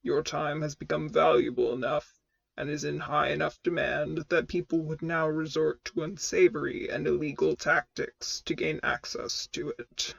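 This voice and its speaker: A woman voicing a stern, commanding male mentor in armor, with deep gravelly tones and formal, measured speech. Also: steady tone, exaggerated voice. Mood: grave, firm.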